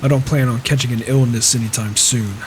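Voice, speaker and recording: A man's voice: mid-range voice, steady tone, a little fast, lightly acted. A man roleplaying an impatient, proud, reclusive warrior in a video game, talking in a grumbling, questioning way. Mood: skeptical, impatient. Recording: faint noise, rain behind, mic issues.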